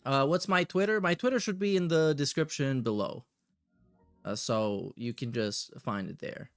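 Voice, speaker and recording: A man's voice: mid-range voice, normal speed, no room sound, clean studio sound. A man hosting a casual livestream, making sarcastic, mocking asides as he reacts to another streamer. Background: music and another streamer's video.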